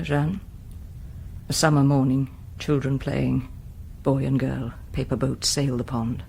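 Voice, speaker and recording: A British woman playing an intense, brooding Mother Superior in a radio drama, moving between command and hush. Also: deep voice, a little hiss or hum. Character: troubled, imperious Mother Superior of a convent.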